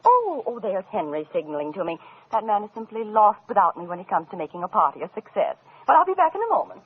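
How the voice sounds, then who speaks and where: An actress playing a silly, gushing, meddlesome society hostess in an old radio drama, prattling archly. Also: female voice, mid-range voice, sing-song, quick pace. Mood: gushing, nosy. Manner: fluttery, prattling, arch. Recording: muffled old radio, clear hiss.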